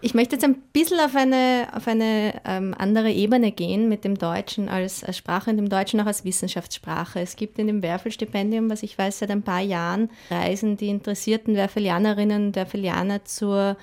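A woman's voice: mid-range voice, fairly even intonation, moderate pace, hardly any pauses, a little mellow. A woman moderating a panel interview, asking the guests polite, structured questions.

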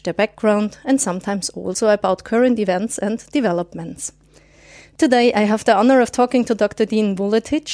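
A woman's voice: medium pitch, a little mellow, no echo. Polite woman podcast host, dry sound. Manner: polite, formal introductions. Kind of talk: podcast interview.

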